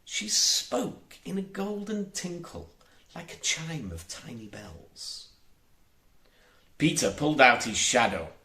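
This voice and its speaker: A man's voice: fairly high pitch, expressive, slow pace, bright, forceful tone, reverberant. A man reading a children's storybook aloud as an audiobook narrator, expressively emphasizing his words. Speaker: audiobook reader.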